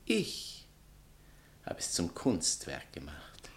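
Male, pompous wounded poet for vintage radio drama; theatrical declaimer with very lively, sweeping pitch. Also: slow pace, heavily acted. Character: vain, pompous, wounded male poet berating his former lover. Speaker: actor. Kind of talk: 1960s German-language radio drama acting.

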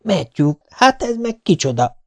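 A man reading an audiobook voices a stern, brisk middle-aged spinster with a sharp, scolding manner. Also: fairly high pitch, sing-song, creaky, faint music behind. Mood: shocked.

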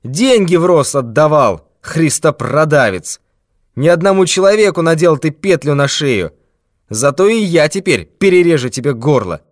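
A man's voice: a little high, animated, normal speed, dry recording, studio quality, pitched above the performer's own voice, lightly acted. A man doing a snarling, sneering robber. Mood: threatening. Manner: shouting threats, sneering. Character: menacing red-haired male robber, brutal and mocking.

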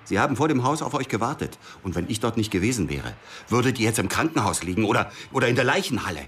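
A man's voice: mid-range voice, animated, fast, a bit bright, a little hiss or hum, natural voice. An actor playing an urgent, distrustful interrogator in a radio drama, protective underneath as he pushes with short questions. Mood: urgent, reproachful. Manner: pressing short questions, drops to whispers. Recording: music behind the voice.

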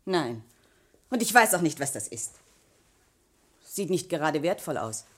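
Casting: snappish, scared hotel maid for crime radio play, lowish husky voice, very animated melody. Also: female voice, measured pace, heavily acted. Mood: defensive, irritated. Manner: guarded, curt, defensive. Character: wary, snappish, frightened female hotel chambermaid. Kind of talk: German radio crime drama acting.

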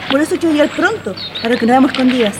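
A woman's voice: high voice, expressive, racing, noisy background. A woman acting an earnest, lovestruck young woman in an amateur radio play, with a Chilean accent and a dreamy, girlish manner. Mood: eager.

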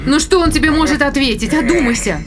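An actress playing an anxious ship's medic in an audio drama, protesting and pleading. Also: female voice, high voice, quick pace, background noise, natural voice. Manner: protesting, imploring.

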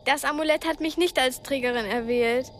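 A woman's voice: high-pitched, brisk, a bit bright, a little hiss or hum. An actress playing an earnest, determined twelve-year-old girl in a radio drama, pleading yet defiant. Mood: bitter despair. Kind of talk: German radio drama acting.

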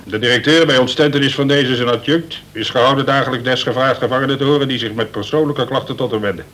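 An actor playing a gruff, exasperated middle-aged colonel who governs a prison, blustering wearily in a Dutch radio play. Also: male voice, medium pitch, quick pace, fairly bright tone, natural voice. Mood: grumbling. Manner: gruff, weary, blustering authority. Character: grumpy, exasperated grey-haired male prison governor, a colonel.

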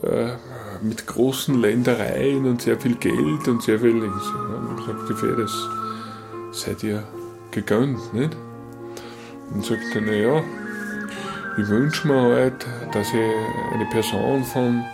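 A man with an Austrian accent tells a joke casually in a gravelly, middle-pitched voice. He stumbles along, repeating words and checking in with short questions. Music plays clearly behind him. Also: steady tone. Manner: halting, repeats words, tags sentences with a questioning "nicht". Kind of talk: casual spoken joke-telling.

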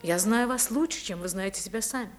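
A woman's voice: medium pitch, a little fast, faint music behind, natural voice. An actress playing an anxious, devoted society wife and mother in a radio play, earnest and theatrical. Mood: tender, composed.

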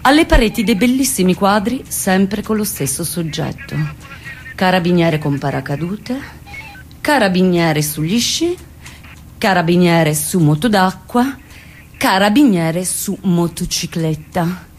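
A woman performing a theatrical first-person comic monologue, telling her story in an expressive, dramatic way. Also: fairly low pitch, measured pace, husky. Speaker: stage actress performing a monologue.

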